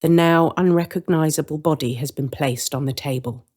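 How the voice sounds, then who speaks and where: A woman's voice: low-pitched, normal speed, a little mellow. A woman reading a scripted story as a dramatic narrator.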